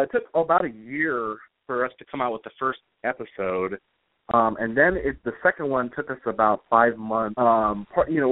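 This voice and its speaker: A man giving casual, rambling interview answers as a guest author, speaking in a hesitant, halting way. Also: medium pitch. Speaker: guest, comic book author. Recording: roomy, phone-like internet radio call.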